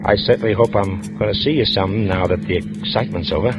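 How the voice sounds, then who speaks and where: An actor in a 1940s radio drama playing a brave, plain-spoken police lieutenant who talks in casual slang. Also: male voice, fairly low pitch, fast talker, highs cut off, natural voice. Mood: friendly. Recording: old radio broadcast with music.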